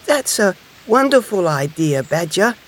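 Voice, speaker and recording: A man voicing an eager, tender-hearted young hedgehog in a children's storybook, expressive and childlike. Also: a little high, unhurried, voice pushed up, natural voice. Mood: warm, grateful.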